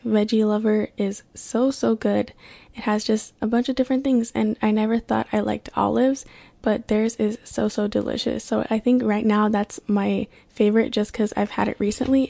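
Young woman with a creaky, flat voice. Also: fairly high pitch. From born in Kenya, raised in California. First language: Swahili. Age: young adult. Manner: chatty, relaxed, filler-heavy. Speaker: podcast host. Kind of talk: casual solo podcast chat.

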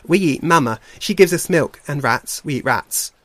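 A man's voice: fairly high pitch, expressive, moderate pace, a bit bright, no room sound, voice pushed up. A man voicing an eager, innocent little bear cub, a pleading younger brother in a storybook. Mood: contradicting, innocent. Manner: pleading, childlike.

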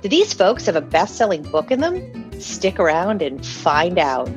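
A woman's voice: lowish voice, big pitch swings, measured pace, dull audio, faint music behind. A woman hosting a podcast, reading introductions and putting questions to a guest. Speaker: podcast host and interviewer. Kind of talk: scripted intro and interview.

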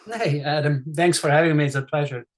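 A man's voice: a little high, a little fast. A middle-aged man from Switzerland with a Swiss accent, chatting casually as a podcast guest, friendly, with lots of fillers and restarts. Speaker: race director guest. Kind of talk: casual interview chat.

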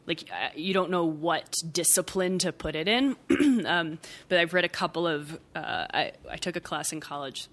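Bright-voiced young woman musing aloud. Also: low-pitched. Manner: thoughtful, rambling, many fillers and soft laughs. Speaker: podcast guest, writer. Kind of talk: casual reflective conversation.

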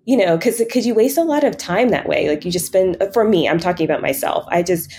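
A woman in casual, reflective conversation, candid and often halting as she corrects herself. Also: lowish voice, animated, a little mellow, a little hiss or hum.

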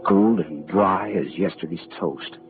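An actor playing a wisecracking, world-weary private detective in 1940s radio style, clipped and sardonic. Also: male voice, deep voice, unhurried. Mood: tense narration. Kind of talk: hard-boiled first-person narration and dialogue, 1940s radio acting. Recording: muffled old radio broadcast, faint music.

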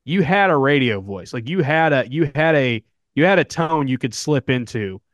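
A man's voice: medium pitch, animated, moderate pace, dry recording. A man with a Southern American accent, co-hosting a podcast and chatting casually, rambling with lots of fillers. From Southern United States. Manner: rambling, frequent fillers and restarts. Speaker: podcast co-host. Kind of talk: casual sports podcast chat.

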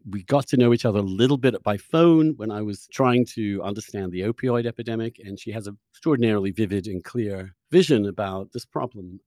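A man's voice: medium pitch, darkish tone, no room sound, studio quality. A man hosting a podcast, talking in an effusive, conversational interviewing style. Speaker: podcast host.

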